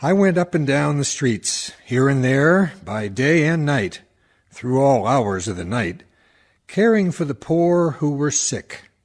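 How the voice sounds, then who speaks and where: A kindly dead town doctor, acted by a man, tells his sorrow earnestly. The voice is mid-pitched and unhurried, weary and lovelorn, in the manner of a radio play. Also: sing-song. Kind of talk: dramatic monologue for radio play.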